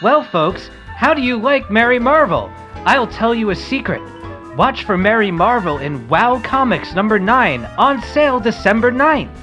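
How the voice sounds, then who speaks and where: A woman playing an earnest, excitable young boy hero in an amateur comic-book radio play, eager and polite. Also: a little high, moderate pace, over music. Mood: cheerful.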